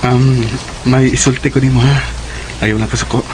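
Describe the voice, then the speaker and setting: A man playing an earnest, gentle young suitor in Cebuano radio drama, with a Cebuano accent. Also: mid-range voice, flat delivery, moderate pace, a little hiss or hum, natural voice. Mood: nervous, pleading.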